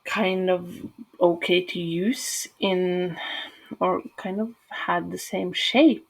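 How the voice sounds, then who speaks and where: A woman's voice: lowish voice, steady tone, slow, vocal fry, rough recording. A woman from Norway with a Norwegian accent, talking casually and hesitantly through a game build with many fillers. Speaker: gaming channel host.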